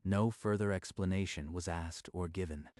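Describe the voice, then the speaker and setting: A man narrating a manga dub story in a steady, even storytelling manner. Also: low-pitched, moderate pace, dry recording, studio quality.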